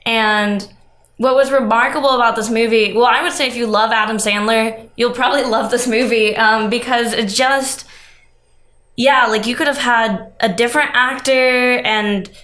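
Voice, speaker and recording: A woman's voice: a little high, fairly even intonation, moderate pace, a bit bright. A young adult woman in a casual podcast chat, with informal, slightly tentative phrasing. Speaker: podcast panelist. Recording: roomy, echoing room sound.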